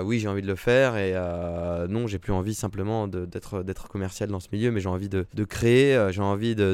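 A man's voice: fairly low pitch, darkish tone, smooth, dry recording. A young man in the wine trade, answering interview questions thoughtfully with frequent fillers. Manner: reflective, many fillers. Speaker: wine entrepreneur.

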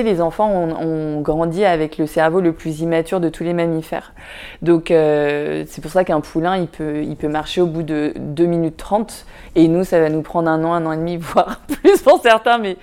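Podcast guest, woman, low mellow voice, candid and halting, lots of fillers. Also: smooth. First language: Vietnamese. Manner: thoughtful, many fillers and restarts, addresses listener with "tu vois". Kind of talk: casual reflective interview talk.

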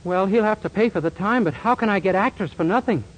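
Bumbling, earnest young male employee man acting, rapid delivery, 1940s radio sitcom, very noisy vintage recording. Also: sits high, close to an everyday voice. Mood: worried.

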